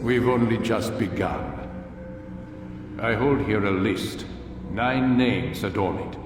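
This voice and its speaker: Stern master in an echoing hall. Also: male voice, lowish voice, distant mic, background noise. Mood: solemn, commanding. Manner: measured, commanding, paternal and grandiose. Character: stern, solemn aging master of an assassin brotherhood. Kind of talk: dramatic video game cutscene acting. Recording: echoey hall, music behind.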